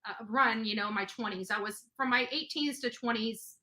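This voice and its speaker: High, bright-voiced blunt woman. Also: fairly quick. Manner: blunt, emphatic, repeats phrases for emphasis. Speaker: interview guest. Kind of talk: casual personal storytelling in an interview.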